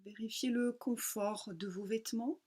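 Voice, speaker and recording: A woman teaching yoga nidra, guiding a relaxation meditation calmly in short, unhurried phrases with pauses. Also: on the high side.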